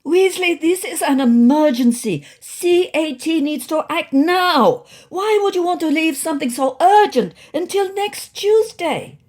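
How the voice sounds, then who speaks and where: A woman's voice: extremely high pitch, animated, unhurried, hardly any pauses, darkish tone, pitched above the performer's own voice. A woman voicing a bossy, zealous young female cat activist in a storybook, proclaiming dramatically. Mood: urgent.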